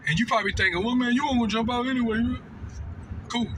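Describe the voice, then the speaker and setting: A man's voice: very high voice, quick pace, background noise. An American man telling a personal story casually off the cuff, slangy and repetitive, often checking that the listener follows. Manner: slangy and repetitive, seeking agreement with "right?" and "know what I mean". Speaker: storytelling vlogger.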